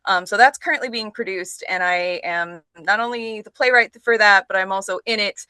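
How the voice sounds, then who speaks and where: A woman guest with a bright, forward voice at an average pitch, recorded dry and close. She talks in a casual, conversational way, like an actress being interviewed on a podcast. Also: moderate pace, dry recording, low-quality recording. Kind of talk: casual chat.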